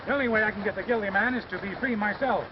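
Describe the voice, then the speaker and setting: A man's voice: high voice, racing, lightly acted. An American actor playing an accused, level-headed cowboy who defiantly protests his innocence in a 1930s western film. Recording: muffled noisy 1930s film soundtrack.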